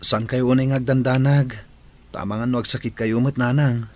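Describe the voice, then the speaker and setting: A man acting a concerned grown son who gently reassures his mother in an Ilocano radio drama. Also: mid-range voice, fairly even intonation, normal speed, natural voice. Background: music.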